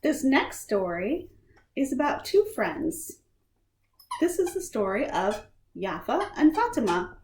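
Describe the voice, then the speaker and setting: Animated woman reading a picture book, slowly. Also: a little high. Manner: animated, deliberate, acts out lines and sound words. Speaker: storytime reader for a library. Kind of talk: expressive children's picture-book read-aloud. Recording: roomy echo, faint background noise.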